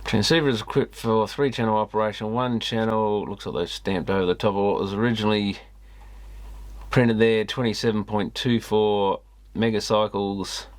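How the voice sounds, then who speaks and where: Low-pitched man thinking aloud. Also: steady tone, moderate pace. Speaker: host of an electronics repair video channel. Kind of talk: casual commentary mixed with reading a manual aloud.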